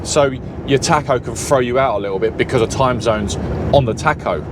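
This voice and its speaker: A man's voice: medium pitch, a little fast. A man with a Southern English accent, a lorry driver chatting casually and rambling a little as he drives, often correcting himself mid-sentence. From Southern England. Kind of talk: casual vlog chat while driving. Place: lorry cab on the road. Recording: loud engine and road noise. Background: lorry engine and road noise.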